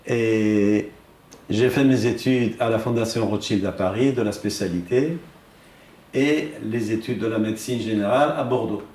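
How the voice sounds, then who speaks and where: A man's voice: fairly low pitch, moderate pace, darkish tone, slight background noise. Older Iranian-born man, doctor explaining his work. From Iran. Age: 60s or older. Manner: didactic, asks and answers his own questions. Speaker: ophthalmologist. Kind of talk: explanatory interview talk, presenting his work and equipment.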